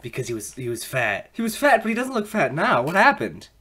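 A man's voice: fairly high pitch, wildly swooping pitch, brisk, sharp tone, slightly rough. A man improvising a comedic fan dub with theatrical, exaggerated delivery. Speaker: amateur fan dub performer.

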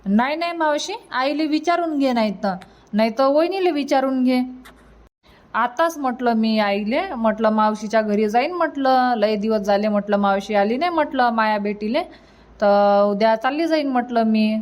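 A woman's voice: a little high, normal speed, a little mellow, close to an everyday voice. A woman voicing a homely, earnest young married niece in a cartoon comedy, with a Varhadi Marathi accent. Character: homely young married niece visiting her village family. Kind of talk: cartoon comedy dialogue in rural dialect.

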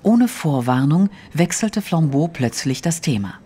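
A man's voice: on the high side, very animated, unhurried, warm tone, a little hiss or hum. A man narrating a scripted audio drama as its storyteller.

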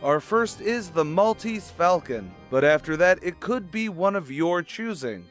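Projected male radio announcer, raised pitch. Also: expressive, a little slow, faint music behind. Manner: projected, presentational. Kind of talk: old-time radio show announcing.

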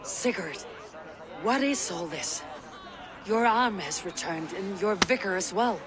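Game role: measured Viking warrior, higher voice. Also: male voice, animated, background noise. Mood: calm. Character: Eivor, steady male Viking warrior, earnest. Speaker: player character. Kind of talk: video game voice acting. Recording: game audio with loud music and crowd.

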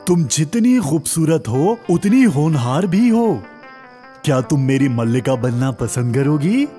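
Man acting young prince, commanding, greedy, soft dark timbre, light music behind, children's cartoon story. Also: on the high side, very animated. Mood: delighted.

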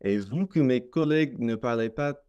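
A young Canadian man, a native English speaker, talking in careful learned French on a video call, with many hesitant pauses. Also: medium pitch, a little mellow, smooth, lo-fi sound. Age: young adult. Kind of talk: casual conversation in learned French. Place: small room at home. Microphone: laptop webcam mic on video call.

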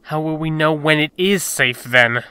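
A bright, fairly high male voice with a dry, close sound, acted by a man. He plays a scheming, wisecracking rogue in a comic dub. He comes across brash, slangy and impatient. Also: normal speed, clean tone, no echo. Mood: cocky, scheming.

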